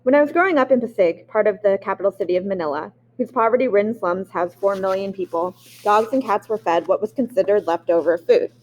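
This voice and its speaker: A woman's voice: mid-range voice, fast, few pauses, a little hiss or hum, rough recording. Woman reading a memoir aloud. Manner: expressive reading with occasional restarts. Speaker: audiobook reader.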